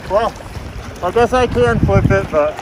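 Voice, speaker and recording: Male kayak angler, high-pitched, halting and musing, casual, recorded outdoors on a lake with clear wind and water noise. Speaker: kayak angler practicing self-rescue. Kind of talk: casual chat. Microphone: camera on a nearby kayak.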